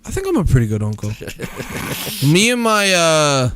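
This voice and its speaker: A man chatting on a podcast, quick to laugh and jumping from one thought to the next. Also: a little high, slight background noise. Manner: animated, laughs easily, trails off into new thoughts. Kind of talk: casual podcast chat.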